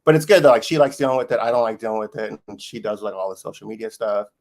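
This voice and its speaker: A man's voice: on the low side, racing, darkish tone. A man being interviewed as a guest, talking casually with many "likes" and "you know what I mean"s and frequent restarts. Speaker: snake breeder, guest.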